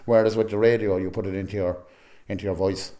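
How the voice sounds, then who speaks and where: A man with an Irish accent chats casually in an interview. His voice is low and mellow, and he talks in a loose, chatty way. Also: fairly even intonation, fast talker. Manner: chatty, frequent "you know". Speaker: amateur actor, interviewee.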